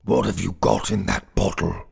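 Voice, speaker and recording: Storybook goblin: stern and malicious, gravelly, husky, drawn-out taunting delivery. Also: male voice, steady tone, full character voice. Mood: accusing. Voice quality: deep, forceful.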